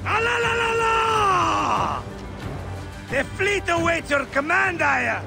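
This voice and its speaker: An actor playing a boisterous, middle-aged Greek warship captain in a video game, grandiose and playful, with a gravelly voice. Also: male voice, very high voice, big pitch swings, slow pace, shouting, over music, exaggerated voice. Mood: battle-hungry, rousing. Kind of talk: theatrical video game character acting.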